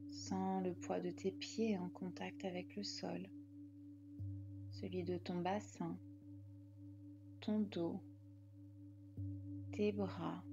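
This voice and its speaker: A woman leading a guided relaxation meditation as a yoga nidra guide, calm and soothing in her delivery. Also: mid-range voice, vocal fry, lo-fi sound.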